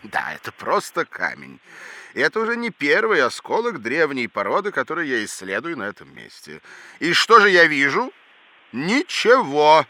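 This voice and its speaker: Pompous exasperated scatterbrained professor, highish forceful voice, kids audio drama. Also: male voice, huge pitch swings, normal speed, exaggerated voice. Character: fussy, indignant, absent-minded Greek palaeontology professor. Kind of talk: children's educational audio drama acting.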